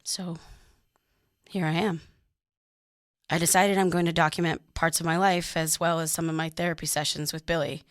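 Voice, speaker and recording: Woman acting an uneasy, low-voiced podcast diarist. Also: dry recording, clean studio sound. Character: exhausted, uneasy young woman recording her dream diary. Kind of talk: confessional fiction podcast narration.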